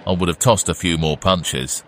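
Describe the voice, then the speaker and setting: Smug, menacing deputy. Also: male voice, sits low, normal speed, fairly bright tone, slight background noise. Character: sneering, menacing male deputy, cold and smug. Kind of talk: full cast audio drama.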